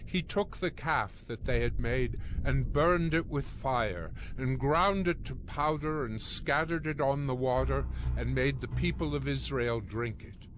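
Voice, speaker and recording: Slow, solemn male scripture narrator. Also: mid-range voice, noisy background. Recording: music behind the voice.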